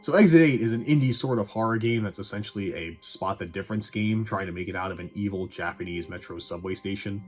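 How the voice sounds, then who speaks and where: A man's voice: on the low side, fast talker, hardly any pauses, soft background music. A man giving a casual, opinionated movie review in a wry, sarcastic, conversational way. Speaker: film reviewer and host.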